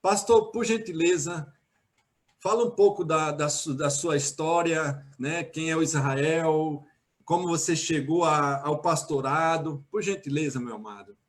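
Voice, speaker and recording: A man hosting a live online interview, asking questions courteously and slowly and giving short, encouraging replies. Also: on the high side. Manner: courteous, slow, gives short affirmations. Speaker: host, interviewer.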